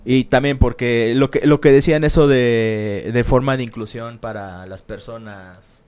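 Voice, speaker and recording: Man, casual co-host, slangy, lots of fillers, average pitch. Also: fairly even intonation, a little slow, a little mellow, clear, resonant tone. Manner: slangy and swearing, with many fillers. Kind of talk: casual chat.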